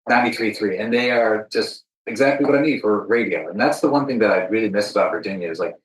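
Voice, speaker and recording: A man's voice: lowish voice, fast talker, far from the mic. A man hosting a podcast in casual conversation, talking in an informal, chatty way. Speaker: podcast host. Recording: roomy echo, off the mic.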